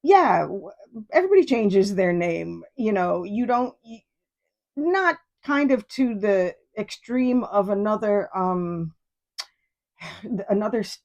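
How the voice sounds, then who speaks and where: A woman's voice: mid-range voice, expressive, slow, a little mellow, lo-fi sound. A woman in her fifties from New York State, hosting a solo podcast in a rambling, digressive and profane way. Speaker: podcast host. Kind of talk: casual solo podcast monologue.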